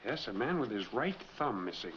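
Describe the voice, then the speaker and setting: A man's voice: medium pitch, big pitch swings, fairly quick, natural voice. A middle-aged American man playing a weary, earnest fugitive wronged by the law, in 1940s film noir style. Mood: urgent, earnest. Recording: dull, noisy 1940s film soundtrack.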